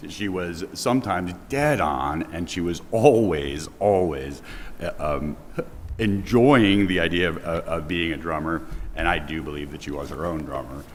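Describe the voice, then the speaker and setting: A man in his fifties speaking at a memorial service in a church, talking loudly and casually, mixing a prepared reading with off-script remarks. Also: deep voice, measured pace. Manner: loud, casual speaking with chuckled asides. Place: church sanctuary. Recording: long church reverb, faint background hiss.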